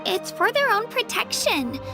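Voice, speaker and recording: A woman voicing an earnest young girl in a video game, explaining things brightly. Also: very high voice, sing-song, unhurried, over music, exaggerated voice. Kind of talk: video game character acting.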